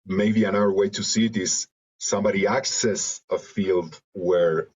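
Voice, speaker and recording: A man from Argentina with a Spanish accent, reflecting in an interview in halting, searching phrases over a video call. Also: sits low, fairly even intonation, a little mellow, slightly rough. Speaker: interview guest, creative and therapeutic facilitator. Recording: dull lo-fi video call audio.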